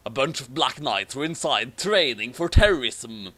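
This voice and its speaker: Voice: mid-range voice, animated, moderate pace, a bit bright. A person reading a story aloud for comedy and chatting casually about it, often going back over flubbed lines. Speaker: fan fiction reader and commentator.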